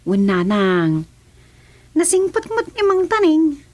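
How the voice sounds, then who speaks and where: A high, animated Ilocano daughter. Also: female voice, slow pace, heavily acted. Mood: teasing. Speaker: actor in a radio serial. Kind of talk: Filipino radio comedy-drama acting.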